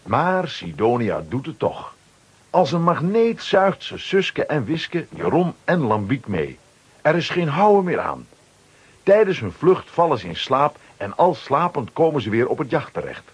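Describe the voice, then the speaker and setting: A man's voice: fairly high pitch, big pitch swings, measured pace, breathy edge. A man with a Flemish accent narrating a children's story in a dramatic scripted style.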